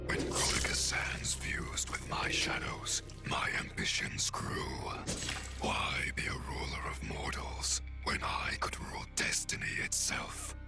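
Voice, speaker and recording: Man as a whispering sinister shadow wraith. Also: close to an everyday voice. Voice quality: whispery, breathy, unvoiced rasp. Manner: slow, ominous, grandiose. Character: sinister shadow wraith, grandiose, menacing male villain. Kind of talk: dramatic video game monologue. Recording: music behind the voice.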